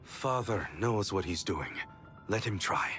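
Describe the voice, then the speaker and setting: A man voicing a calm, level-headed young adventurer in a video game, speaking in a measured, reasoning way. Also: fairly low pitch, faint music behind. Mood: resigned, calm. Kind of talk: video game cutscene acting.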